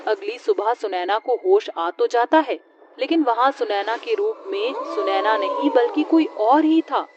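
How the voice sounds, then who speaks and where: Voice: mid-range voice, a little slow. A storyteller narrating a scripted horror story in the manner of a tale read aloud. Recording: dull lo-fi audio, faint noise.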